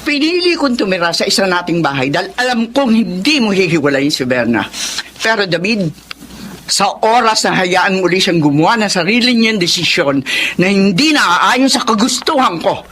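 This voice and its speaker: A woman's voice: mid-range voice, big pitch swings, moderate pace, a bit bright, a little hiss or hum. An actress playing a domineering, scornful wealthy mother in a Filipino melodrama, haughty and accusing, with a Filipino accent and bits of English. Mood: cold, threatening. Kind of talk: melodramatic radio drama acting.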